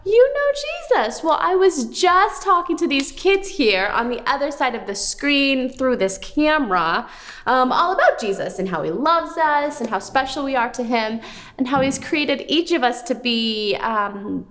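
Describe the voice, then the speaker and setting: A woman presents a children's puppet show in a high voice. Her pitch swoops widely, with exaggerated, expressive wonder aimed at kids. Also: unhurried. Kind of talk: scripted children's show dialogue.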